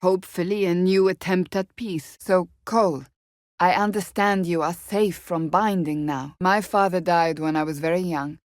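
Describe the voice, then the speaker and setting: An actress playing a stern, blunt, devout warrior woman in a fantasy video game, speaking formally and clipped with a deadpan edge. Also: fairly low pitch, moderate pace, no room sound, studio quality, lightly acted. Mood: guarded, earnest.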